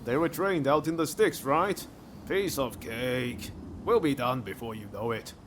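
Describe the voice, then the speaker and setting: A man's voice: medium pitch, unhurried, background noise, lightly acted. An actor playing an easygoing, confident swordsman in a video game, with a breezy, informal manner. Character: easygoing, confident swordsman ready for any job. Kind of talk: video game cutscene acting.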